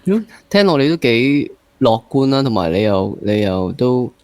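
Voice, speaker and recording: A man with a Hong Kong accent hosting a casual interview, feeling his way through halting phrases with some English words mixed in. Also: medium pitch, sing-song, darkish tone, smooth, dry recording. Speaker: interview host.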